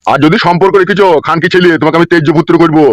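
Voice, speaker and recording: A hot-tempered middle-aged father played by a man rages through a comic cartoon in a fairly high voice. He harangues and curses at a very rapid clip, scolding without restraint. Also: steady tone, no room sound, lightly acted. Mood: stern scolding. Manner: abusive, cursing, haranguing. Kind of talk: comic Bengali cartoon dub.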